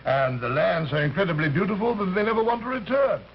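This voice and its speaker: A man's voice: high-pitched, quick pace. An actor in an old radio drama playing an urbane alien recruiter, polite and pompous, hesitating and rambling as he talks. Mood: smugly superior. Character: urbane, genteel alien recruiter posing as an Earthman. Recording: muffled, hissy old radio recording.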